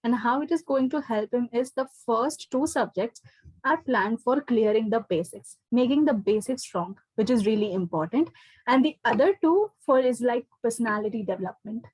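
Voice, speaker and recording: A woman's voice: fairly high pitch, a little mellow, slightly rough, dry recording, poor audio. A woman with an Indian accent, a teacher explaining things to a parent, often checking in with "okay" and "right?". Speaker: teacher giving feedback to a parent. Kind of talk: explanatory talk on a video call. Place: indoors, online class video call.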